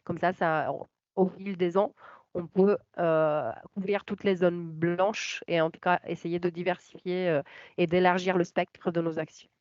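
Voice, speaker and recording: A woman's voice: fairly low pitch, fairly even intonation, measured pace, a little mellow, no echo. A woman giving explanatory answers as a coordinator on a webinar. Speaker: cultural project coordinator, panel speaker. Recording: dull, lo-fi online call audio.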